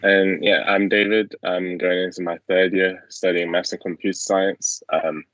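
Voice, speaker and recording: A man's voice: moderate pace, no echo. A young man hosting a casual student Q&A over a video call from a small room at home. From England. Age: young adult. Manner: relaxed, low-key, fillers. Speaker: student host. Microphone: laptop webcam mic on video call. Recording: dull lo-fi video call audio.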